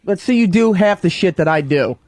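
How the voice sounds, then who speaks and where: A man's voice: high voice, expressive, a little fast, a little mellow, no room sound. A man in a heated workplace argument, defensive and exasperated, swearing as he makes his case. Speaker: radio show staffer.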